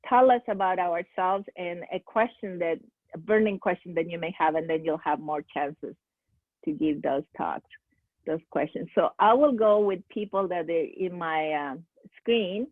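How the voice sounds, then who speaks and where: A woman's voice: on the low side, no room sound. Woman from Peru on a tinny call. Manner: halting, many fillers and restarts. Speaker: seminar host. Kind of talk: hosting a video-call Q&A. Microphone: video call. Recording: tinny phone-like call audio.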